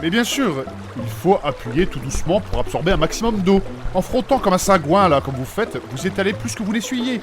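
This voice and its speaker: A man's voice: high voice, sing-song, a little fast, music in the background. A man playing a fussy, polite older man in a comic audio drama, speaking primly and formally as he coaxes. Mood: gently scolding. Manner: prim, formal, fussing and coaxing. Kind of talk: comic audio fiction acting.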